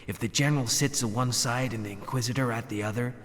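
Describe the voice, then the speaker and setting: A man's voice: mid-range voice, quick pace, fairly bright tone, close to an everyday voice. A man playing a cold, fatalistic arbiter of law, speaking solemn, cryptic, formal lines in a game, in a reverberant hall. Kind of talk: theatrical scripted game dialogue.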